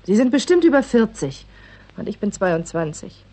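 Teasing, husky young woman. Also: medium pitch, sing-song, moderate pace, warm tone. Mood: coolly frank. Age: 20s. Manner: teasing, frank. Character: cheeky, self-assured young woman of twenty-two, teasing and guarded. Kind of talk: 1960s German radio play acting.

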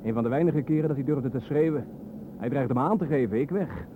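A man's voice: medium pitch, a little fast, close to an everyday voice. An actor playing a bitter, sardonic adult son in a radio drama, brooding as he talks. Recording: narrow phone-like sound over vehicle noise.

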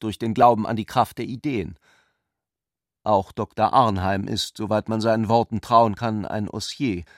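A man's voice: medium pitch, dry recording, natural voice. Actor as a dry-sounding, ironic intellectual man. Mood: ironic, courteous. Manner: polite, ironic, discursive. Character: ironic, polite, intellectual male cousin, teasingly philosophical. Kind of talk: literary radio play dialogue.

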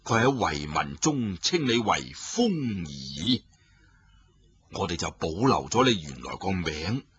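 A man voicing an old male Buddhist abbot who speaks in a slow, solemn, heavy way. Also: sits low, sing-song, fairly bright tone, muffled recording, pitched below the performer's own voice, full character voice. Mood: grave. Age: older.

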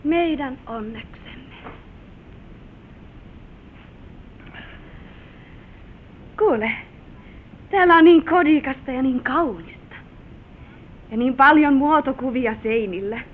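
An actress playing a sweet, admiring young bride in an old Finnish radio play, speaking in a gentle, exclaiming, theatrical way. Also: female voice. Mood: weary, charmed. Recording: narrow-band, hissy vintage radio recording with rumble.

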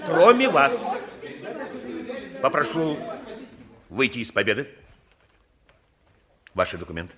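A calm, official police lieutenant, polite but firm, mid-pitched with very wide intonation. Old radio drama recording with clear crowd voices behind. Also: male voice, slow pace, long pauses. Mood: brisk, official. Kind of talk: 1960s Russian radio play acting.

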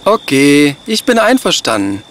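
A man's voice: a little high, very animated, a little slow, fairly bright tone, a little hiss or hum. A man voicing a wise, sensible young male pig who speaks in an earnest, preachy way in a children's fairy-tale cartoon. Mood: earnest, reasoning.

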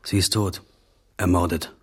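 An actor playing a curt police officer questioning a witness in a radio drama. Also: male voice, low-pitched, level tone, a little slow, husky. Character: curt male police officer at a crime scene.

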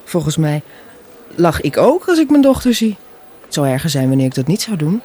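Radio drama mother, mid-fifties: husky, wistful, quietly stubborn, conversational. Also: deep voice, sing-song, brisk, heavily acted. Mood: wistful, chatty. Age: middle-aged. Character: wistful, gently stubborn mother of fifty-five. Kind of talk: Dutch radio play dialogue, naturalistic.

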